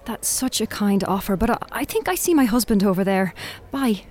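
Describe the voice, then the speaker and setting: A courteous young woman played by an actress, with medium pitch and very mobile intonation. She is guarded and a little hesitant, in the manner of audio drama dialogue. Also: animated, fairly quick, darkish tone, soft background music, lightly acted. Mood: politely evasive. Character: polite, guarded young woman on a first date.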